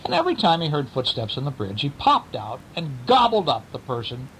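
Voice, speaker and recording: A man's voice: wildly swooping pitch, brisk, fairly bright tone, rough recording. A man, a father, telling a bedtime story to a child in a playful, theatrical, teasing way, at home. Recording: home recording with rumble and background noise.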